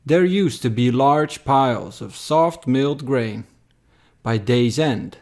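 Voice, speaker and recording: Man, mid-pitched, very slow pace, solemn, measured poetry narration. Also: expressive, darkish tone. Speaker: narrator, poem reader. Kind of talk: scripted dramatic poetry narration.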